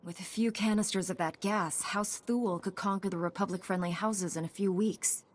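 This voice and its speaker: A principled, composed knight heroine from a video game, voiced by a woman. Her voice is of middle pitch. She speaks directly and firmly. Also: fairly even intonation, moderate pace, close to an everyday voice. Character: principled, composed young female Jedi knight.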